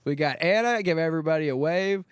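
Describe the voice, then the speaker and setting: High-voiced, smooth male show host. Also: fast. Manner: joking, teasing. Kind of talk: casual upbeat show hosting banter.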